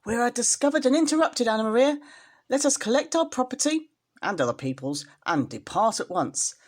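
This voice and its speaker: A woman's voice: a little high, sing-song, brisk, pitched above the performer's own voice. A woman voicing a pompous, fussy male rat from a storybook, speaking in formal, wordy complaints. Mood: alarmed, stately.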